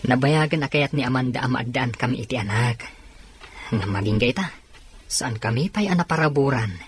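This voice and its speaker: A man's voice: medium pitch, normal speed, background noise, natural voice. A man playing a hospitable husband and friend in an Ilocano audio drama. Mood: explaining, concerned. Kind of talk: Ilocano radio-style drama acting.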